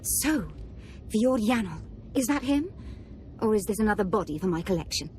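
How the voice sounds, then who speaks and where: A woman's voice: medium pitch, lively intonation, normal speed, a little mellow, slight background noise, lightly acted. An actress playing a capricious, sadistic young noblewoman in a game cutscene, imperious and playfully menacing. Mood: playful menace. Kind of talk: video game cutscene acting.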